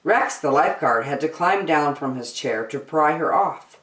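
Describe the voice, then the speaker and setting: A woman reading a children's picture book aloud as host, deliberately phrase by phrase, in an echoey room. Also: deep voice, lively intonation, a bit bright. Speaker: storytime host and reader. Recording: roomy echo.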